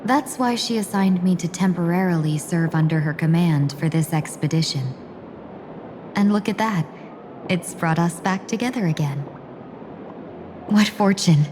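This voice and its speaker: A woman's voice: lowish voice, unhurried, pauses often, darkish tone, a little hiss or hum, lightly acted. A woman playing a devoted young warrior aide in a video game, courteous, earnest and deferential in her speech. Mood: devoted, grateful. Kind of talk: scripted video game acting. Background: game music.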